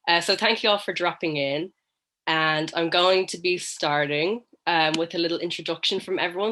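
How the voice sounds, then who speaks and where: A man's voice: fairly high pitch, pushed and bright, slight background noise. A man with an Irish accent hosting a casual interview over a video call, with lots of ums and short, friendly replies. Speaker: host.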